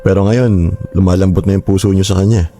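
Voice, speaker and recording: A man playing a young teacher and proud older brother who turns defensive and quickly flares into shouting, in a radio drama. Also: low voice, steady tone, a little hiss or hum. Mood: calm.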